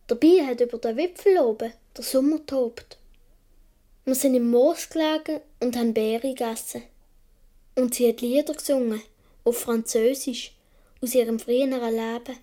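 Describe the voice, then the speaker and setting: Female actor as dreamy little Swiss German boy narrating radio drama; high, soft-toned, wistful and unhurried. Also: natural voice. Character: dreamy young Swiss boy recalling his earliest childhood.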